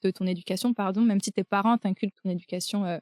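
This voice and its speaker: A woman's voice: medium pitch, fairly even intonation, quick pace, a little mellow, no room sound, studio quality. A young woman from France with a French accent, hosting a podcast conversation and talking casually with frequent hesitations. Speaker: podcast host.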